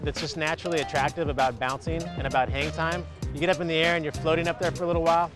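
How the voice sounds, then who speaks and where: Male interviewee, casual explainer, higher than average pitch, clear music bed. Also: level tone, rapid-fire. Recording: music under the voice.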